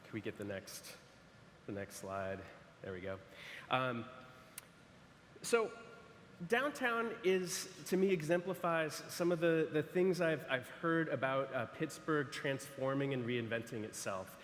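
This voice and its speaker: A man in the middle of his pitch range speaks to an audience in a large echoey hall with a long reverb tail. He talks haltingly, with repeated words and "uh" fillers. Faint noise sits under the voice. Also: expressive, fairly bright tone. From San Francisco. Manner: hesitant, frequent restarts and fillers. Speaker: architect presenting to an audience. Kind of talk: conference presentation.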